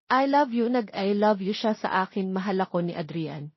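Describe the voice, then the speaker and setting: A woman voicing an expressive, girlish young romance heroine in an audio drama. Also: mid-range voice, moderate pace, breathy edge, no echo, highs cut off. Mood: giddy.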